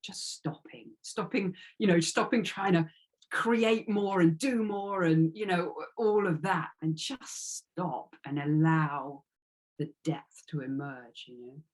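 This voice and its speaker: Female interview guest, low register, thoughtful and searching delivery with repeated words. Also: slow, a little mellow. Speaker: interviewed author. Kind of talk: reflective interview conversation.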